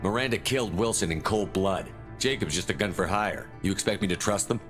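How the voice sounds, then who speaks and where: A resolute game hero , voiced by a man, a military commander with a low voice. He talks quickly and bluntly, firm and wary, with music behind. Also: fairly bright tone, natural voice. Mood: wary, challenging. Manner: direct, terse, firm. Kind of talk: cinematic video game dialogue.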